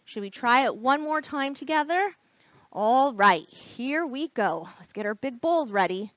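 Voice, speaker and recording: A woman reading aloud at a children's storytime as a librarian, expressive and encouraging, speaking straight to young listeners. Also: high-pitched, a little slow, no echo. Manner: expressive, gasps and whispers for drama. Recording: dull, lo-fi sound.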